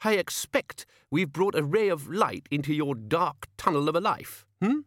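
A man voicing a sharp-tongued young page in a story, sneering and condescending. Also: fairly high pitch, lively intonation, normal speed, no room sound, clean studio sound, voice pushed up.

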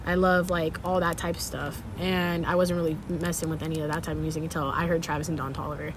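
A woman's voice: low voice, level tone, quick pace, noisy background. A young woman DJ from California, chatting casually in an interview with slangy, laid-back talk and easy laughter. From Sacramento, California. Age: young adult. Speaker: DJ guest.

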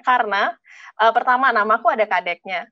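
A woman's voice: fairly high pitch, a little fast, no room sound, highs cut off. A young woman from Bali, a student guest on a podcast, chatting casually, eagerly agreeing and telling stories. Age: young adult. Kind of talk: casual chat.

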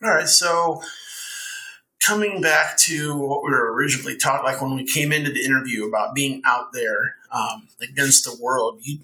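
A man hosting a podcast, setting up long interview questions in a measured way. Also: medium pitch, bright, forceful tone, rough recording. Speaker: podcast host.

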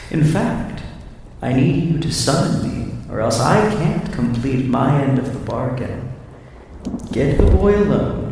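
Sly demonic deal-maker for radio horror, very slow, theatrical and menacing, in cavernous reverb. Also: male voice, mid-range voice, gravelly, a little mellow, heavily acted. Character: sly devilish pastel monster, taunting deal-maker. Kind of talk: radio drama acting. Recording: echoing hall-like reverb, distant, faint noise.

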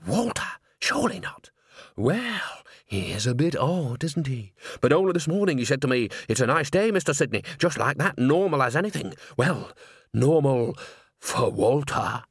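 A man's voice: medium pitch, sing-song, normal speed, husky, dry recording, clean studio sound. A man voicing a doubting, gossipy theatre chorus member with an insinuating, hesitant manner in a storybook reading. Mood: doubtful.

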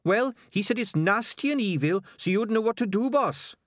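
High-pitched, exasperated rat underling. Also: male voice, lively intonation, moderate pace, a bit bright, dry recording. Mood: alarmed. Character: anxious, exasperated male rat messenger, excitable underling.

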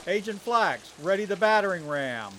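Man as stern 1930s Prohibition agent, formal, commanding, raised pitch, radio drama, background music. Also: lively intonation, normal speed.

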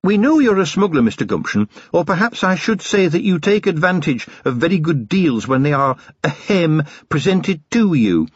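A man's voice: on the high side, very animated, moderate pace, few pauses, breathy edge, dry recording, muffled recording, pitched above the performer's own voice. A man voicing a shrewd female dwarf police officer in a fantasy audiobook, courteous, formal and cheerily firm. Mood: smiling pressure. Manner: formal, courteous, quietly pressing.

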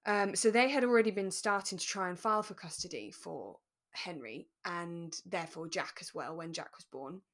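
A woman co-hosting a podcast, talking through a radio drama in a relaxed, careful, explanatory way. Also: on the low side, moderate pace. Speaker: podcast co-host.

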